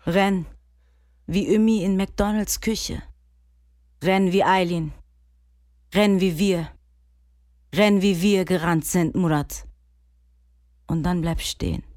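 An actress in a radio drama, speaking softly in a husky, breathy voice and dropping into whispers, calming a young man. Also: on the low side, no room sound, clean studio sound. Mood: urgent. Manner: soft, incantatory, drops to whispers. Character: calm woman soothing a young man.

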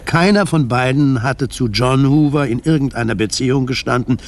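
A man reading scripted narration for a radio drama, measured and articulate. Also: mid-range voice, slight background noise.

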